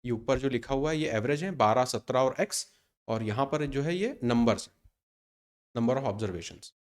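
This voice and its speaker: A man's voice: mid-range voice, expressive, normal speed. A man in his thirties teaching an exam-prep maths lesson in Hindi mixed with English terms. He explains step by step and answers his own questions. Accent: Indian Hindi with English terms mixed in. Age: 30s.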